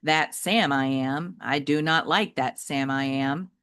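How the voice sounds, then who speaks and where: A woman voicing a metal-hearted robot dog in a children's audiobook, speaking in a deliberate way. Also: very deep voice, fairly bright tone, clean tone, pitched below the performer's own voice, heavily acted. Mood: flat reciting.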